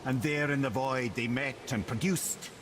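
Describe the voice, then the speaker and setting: An actor playing a wry, learned old storyteller, telling myths in a theatrical cadence. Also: male voice, mid-range voice, unhurried, close to an everyday voice. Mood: grand, narrating. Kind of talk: mythic storytelling in game dialogue. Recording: clear boat and water ambience noise.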